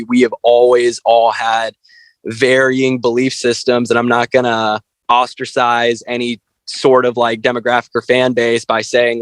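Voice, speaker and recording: A man talks as a guest on a casual interview podcast. His voice sits at an average pitch in a very dry recording. He is candid and blunt, with loose, profane phrasing and plenty of "like". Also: no room sound, poor audio. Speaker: band frontman, interview guest.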